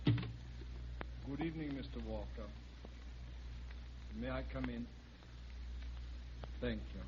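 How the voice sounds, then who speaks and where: An actor playing a polite, enigmatic alien visitor who speaks formally and deliberately in a 1950s radio drama. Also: male voice, mid-range voice, close to an everyday voice. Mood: polite, mysterious. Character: courteous, enigmatic male alien visitor from tenth planet. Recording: noisy, dull vintage 1950s radio recording.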